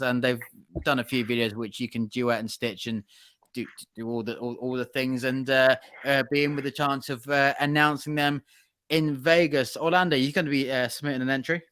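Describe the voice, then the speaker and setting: Bright-voiced man hosting a podcast. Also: mid-range voice, clean tone, no room sound. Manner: rambling, halting, with many fillers and restarts. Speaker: podcast host. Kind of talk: casual news chat.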